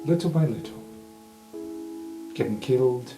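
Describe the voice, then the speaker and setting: A man playing an ancient, evasive professor in a tabletop game, musing in a measured way and trailing off. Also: medium pitch, expressive, lightly acted. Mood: grave, musing. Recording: roomy sound under music.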